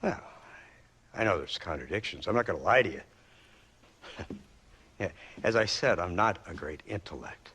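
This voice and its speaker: Slimy condescending govt agent for a western game, super animated, sharp voice, loves his own speeches. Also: sits low, normal speed, long pauses, slightly rough, full character voice. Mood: smug. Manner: theatrical, sardonic, condescending, chuckling drawl. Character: smug, cynical government agent, a wordy villain. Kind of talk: dramatic game cutscene acting.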